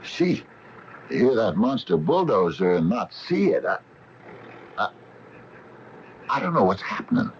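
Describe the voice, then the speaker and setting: An actor playing an uneasy, baffled small-town store owner in a radio drama, stammering when rattled. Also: male voice, fairly low pitch, sing-song, a little slow, long pauses. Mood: shaken. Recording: muffled, noisy 1980 radio broadcast tape.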